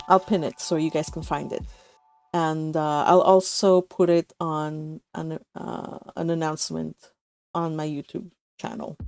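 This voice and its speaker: A woman's voice: mid-range voice, a little mellow. A woman hosting an online read-along, chatting casually and giggly, with rambling anecdotes. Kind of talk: casual group call chat. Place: online voice call.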